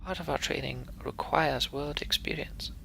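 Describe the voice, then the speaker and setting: A man's voice: a little high, fairly even intonation, normal speed, vocal fry, a bit bright, in a whisper, voice pushed up, rough recording. A man voicing a nervous young female mender apprentice who talks hesitantly and stammers. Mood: curious.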